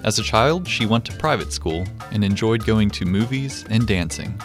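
A man's voice: fairly low pitch, expressive, normal speed. A man reading scripted narration as the narrator of a radio series. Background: soft background music.

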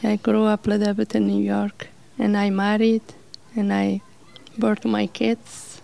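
Flat, gravelly woman. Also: medium pitch. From Afghanistan. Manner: halting, simple non-native English with fillers. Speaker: interviewee. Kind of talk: personal storytelling interview.

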